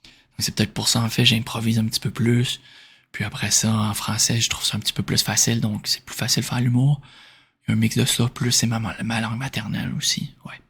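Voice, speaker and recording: A man from Quebec with a Québécois French accent, speaking in a soft, breathy whisper as he informally answers questions in an ASMR style. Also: on the low side, flat delivery, fairly quick. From Quebec, Canada. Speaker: ASMR video creator answering viewer questions.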